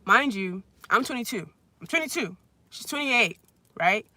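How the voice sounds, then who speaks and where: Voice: mid-range voice, animated, normal speed, sharp tone, no echo. A vlogger in their twenties telling a casual storytime rant at a friend's house, rambling with constant "like" fillers and blunt, profane wording. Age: 20s. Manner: rambling, heavy use of "like" fillers.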